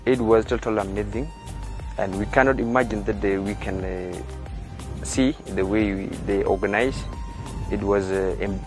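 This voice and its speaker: A young man from South Sudan, a scholarship student, speaking haltingly outdoors on campus. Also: fairly low pitch, steady tone, over music. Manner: halting, many pauses. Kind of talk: interview answers.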